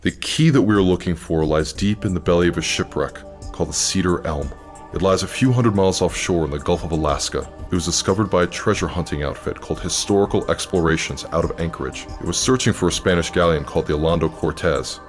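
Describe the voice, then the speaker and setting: An actor playing a secretive, centuries-old immortal leader in an audio drama, speaking with measured, formal authority. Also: male voice, low voice, soft background music, natural voice. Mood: grave, businesslike.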